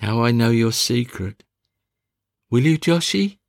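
A man voicing a fussy, fretful middle-aged mother in a one-man reading of a stage play, shrill and prone to outbursts. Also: lively intonation, a little slow, no echo, studio quality. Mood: agitated.

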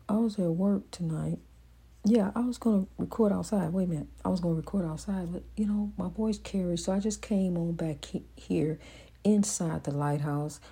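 Husky low-voiced woman preaching. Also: mellow. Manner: preachy, repeats phrases for emphasis; rambling, with many fillers and restarts. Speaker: host of a motivational program. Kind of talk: inspirational faith monologue. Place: indoors at home.

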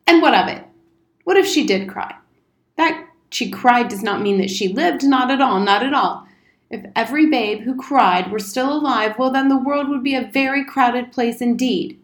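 A woman's voice: medium pitch, expressive, moderate pace, close to an everyday voice. A woman voicing a pompous, wordy old male soldier in a storybook reading. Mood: dismissive, insistent. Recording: roomy, echoing indoor sound.

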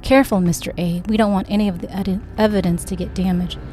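A woman's voice: medium pitch, steady tone, fast talker, warm tone, a little hiss or hum, natural voice. Woman reading as a careful, reassuring young woman.

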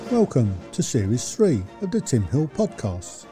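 A man's voice: mid-range voice, very animated, unhurried, over loud music. A man hosting an interview podcast, introducing the show and putting questions to his guest. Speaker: podcast host.

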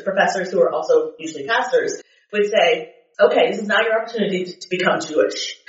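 A seminary-trained woman co-hosting a faith podcast, telling stories with an excitable, laughing manner. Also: medium pitch. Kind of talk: storytelling faith conversation. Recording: echoey room, distant mic, muffled.

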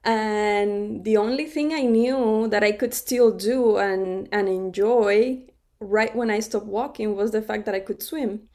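A woman's voice: medium pitch, a little slow, a little mellow. A middle-aged woman from Cuba, a podcast guest telling her story in a conversational interview, laughing often and restarting her sentences.

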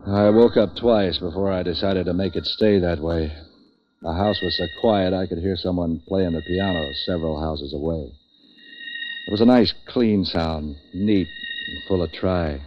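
An actor playing a street-wise, tough sleuth for hire in a 1940s radio noir drama, deadpan, clipped and wisecracking. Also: male voice, low-pitched, moderate pace, close to an everyday voice. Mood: deadpan, world-weary. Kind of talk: 1940s radio noir first-person narration and dialogue. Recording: dull, lo-fi 1940s radio recording.

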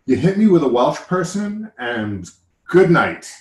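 A man's voice: fairly high pitch, big pitch swings, unhurried, echoey room, rough recording. A man with an American accent, hosting a casual chat in a joking, rambling way that often interrupts itself. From United States. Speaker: podcast host.

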